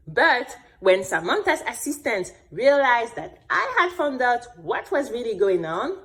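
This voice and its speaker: A woman acts an earnest young female contestant narrating an audio drama. Her voice is high and very animated, with deliberate, unhurried, indignant storytelling. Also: heavily acted. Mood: earnest, confiding. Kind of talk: scripted dramatic first-person storytelling.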